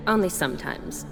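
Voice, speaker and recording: Spirited, high-voiced young fantasy heroine. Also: female voice, exaggerated voice. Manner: expressive, wry. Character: spirited, exasperated young woman, witty fantasy companion. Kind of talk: video game cutscene dialogue.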